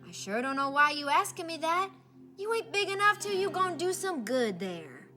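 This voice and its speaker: Woman playing spirited Southern grandma for a children's storybook: higher-pitched, folksy, animated, drawn-out telling, music underneath. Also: exaggerated voice. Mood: scolding, teasing. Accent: Southern American. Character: folksy, spirited Southern grandmother reminiscing about her girlhood. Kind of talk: children's storybook character reading.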